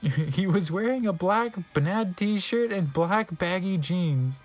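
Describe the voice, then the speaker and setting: Male narrator, fairly high-pitched, theatrical and mocking, comedic reading with wry asides, music behind. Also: expressive, takes it slowly.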